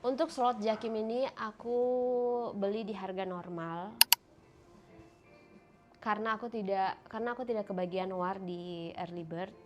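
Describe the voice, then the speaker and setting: A woman's voice: mid-range voice, slight background noise. A young woman with a nasal, congested voice from a cold, chatting casually to viewers while filming a hotel room tour on a handheld phone. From Indonesia. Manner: chatty, talking to viewers, pointing things out. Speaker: vlogger. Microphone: handheld phone camera.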